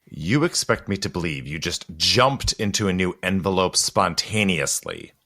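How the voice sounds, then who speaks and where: A deep-voiced, guarded gentleman, acted by a man for an audio drama. He is stern and protective, swinging widely in pitch and pausing for dramatic weight. He sounds intense and urgent, like someone who keeps dangerous secrets. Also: lively intonation, gravelly, heavily acted. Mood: stern, suspicious.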